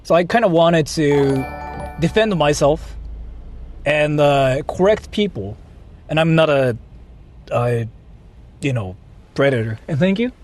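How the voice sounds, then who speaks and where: A man's voice: fairly high pitch, animated, a little slow, long pauses, a little mellow, faint music behind. A man from Japan chatting casually with livestream viewers, rambling and repeating himself, with some swearing. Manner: rambling, repetitive, profane. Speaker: livestream host.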